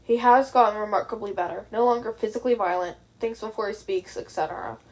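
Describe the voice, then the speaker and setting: A woman reading the lines of a tired, earnest young woman in a story, thoughtful and gently persuading a friend. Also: mid-range voice, fast talker, lightly acted, poor audio.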